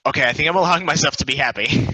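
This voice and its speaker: Actress as anxious female driver, bright edge, overwhelmed, stage-play read, dry lo-fi sound. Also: deep voice, racing, breathy edge, no room sound, exaggerated voice. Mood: giddy disbelief. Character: anxious, overwhelmed woman driver hurrying to a prize.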